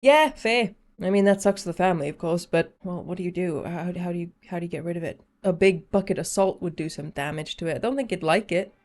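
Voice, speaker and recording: A woman's voice: on the low side, quick pace, few pauses, a little mellow. A woman reacting casually as a video host, with a playful, jokey, slangy way of talking.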